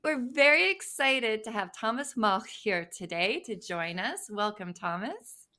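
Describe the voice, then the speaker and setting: A woman's voice: a little high, expressive, measured pace, bright, forceful tone. A woman co-hosting a podcast, chatting in a cheerful, friendly way. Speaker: podcast co-host. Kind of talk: casual podcast chat.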